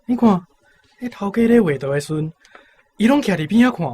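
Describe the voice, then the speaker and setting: A man's voice: sits high, expressive, a little fast, mellow, breathy edge. A Taiwanese man playing an observant, gossipy young apprentice in a radio drama.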